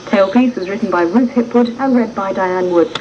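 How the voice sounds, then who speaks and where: A woman's voice: medium pitch, normal speed. Distant-sounding woman presenting a radio series. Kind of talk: scripted radio introduction. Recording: dull, distant lo-fi tape, faint noise.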